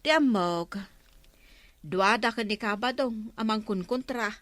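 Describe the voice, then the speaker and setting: A woman's voice: a little high, unhurried, fairly bright tone. A woman with an Ilocano accent playing a frank, scolding village woman in a radio comedy drama. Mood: informing.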